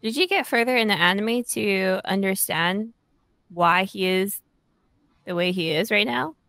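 A woman hosting a podcast at home, asking easygoing questions in a relaxed, casual way on a studio mic. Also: mid-range voice, no echo. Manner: unhurried, asks easygoing questions. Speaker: podcast host. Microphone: studio mic on arm, headphones.

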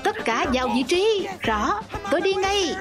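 Woman dubbing a cartoon over loud music. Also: extremely high pitch, animated, a little slow. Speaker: dubbing narrator voicing all cartoon characters. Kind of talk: single-voice cartoon dub.

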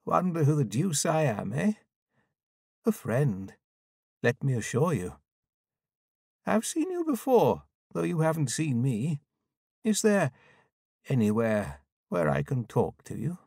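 A man's voice: fairly high pitch, huge pitch swings, slow, creaky, long pauses, a little mellow, breathy edge, no room sound, clean studio sound, full character voice. A man voicing an effusive, mysterious male stranger in an audiobook story. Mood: eager, familiar. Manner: effusive, familiar. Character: eager, over-familiar, mysterious male stranger.

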